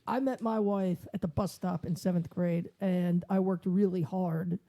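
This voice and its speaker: High squeaky-voiced joking man. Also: fairly even intonation, measured pace, vocal fry, warm tone. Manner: self-deprecating, joking. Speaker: podcast co-host. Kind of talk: casual chat.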